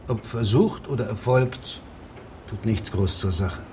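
An actor playing a shrewd federal judge in a radio drama, formal, measured and deadpan, with pedantic precision. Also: male voice, lowish voice, slight background noise, natural voice. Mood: dry, pedantic.

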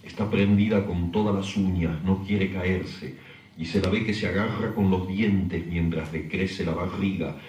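A man's voice: low-pitched, steady tone, moderate pace, a little mellow, echoey room, recorded from a distance, a little hiss or hum. A man giving a literary recitation.

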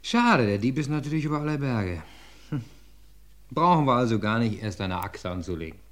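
A man's voice: mid-range voice, very animated, a bit bright, dry recording. A man playing a skeptical, mocking assistant to a police commissioner in an old radio crime drama. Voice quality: quick, mocking and sceptical. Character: skeptical, teasing young male police assistant.

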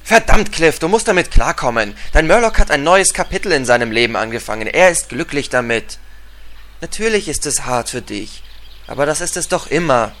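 A man's voice: a little high, expressive, fairly quick, fairly bright tone. A man playing a pompous, overearnest hero and comforter of comrades in a parody audio drama, talking theatrically. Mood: earnest, consoling. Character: pompous heroic speechmaker, earnest comforter of comrades.

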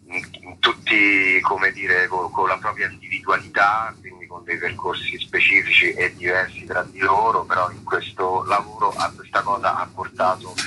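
A man's voice: sits low, pushed and bright, slight background noise, rough recording. A man, an actor giving reflective, conversational interview answers and searching for his words. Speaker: actor being interviewed about a play.

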